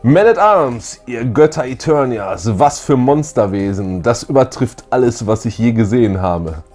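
A man's voice: mid-range voice, lively intonation, measured pace, darkish tone. A man voicing an awestruck fantasy hero from an audio drama in a dramatic, declaiming manner. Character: awestruck male fantasy hero facing monsters.

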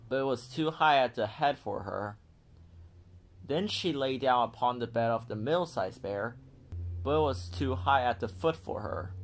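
Male storybook narrator for kids, mid-pitched, very animated delivery. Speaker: children's book read-aloud narrator.

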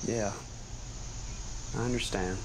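A man in his forties playing an earnest, halting husband of a kidnapped wife in a found-footage horror film. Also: on the low side, steady tone. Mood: uneasy, defensive. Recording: noisy audio with insect ambience.

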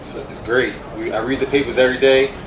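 A man's voice: medium pitch, steady tone, fast, crowd noise. A man in his early twenties, a college football player answering at a press conference, repeating phrases for emphasis. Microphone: handheld microphone. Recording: roomy, distant mic, noisy background.

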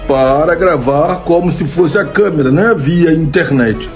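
A man in his fifties with a Brazilian accent, talking loosely into a phone at home. His voice sits high for a man, and faint music runs underneath. He chuckles at his own jokes and keeps tagging lines with "né?". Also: measured pace. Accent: Brazilian Portuguese. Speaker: comedy content creator answering viewer questions. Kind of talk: casual Q&A monologue. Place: home room, indoors. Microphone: old phone camera, close framing.

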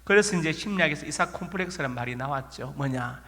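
Bright-voiced pastor with a regional accent. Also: male voice, fairly high pitch, lively intonation, fast. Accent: Korean regional dialect. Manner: folksy storytelling with rhetorical questions. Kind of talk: sermon. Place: church sanctuary. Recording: long church reverb.

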